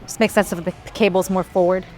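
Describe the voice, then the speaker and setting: A woman's voice: on the low side, fairly even intonation, quick pace, darkish tone, soft background music. A woman fitness vlogger explaining exercises while she does them, a little out of breath, with effortful sighs and exhales. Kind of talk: casual workout instruction. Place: gym.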